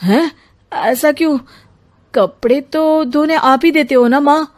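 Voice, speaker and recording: A woman's voice: sits high, takes it slowly, voice pushed up. A woman voicing a puzzled, complaining adult son in a family story, plaintive and questioning.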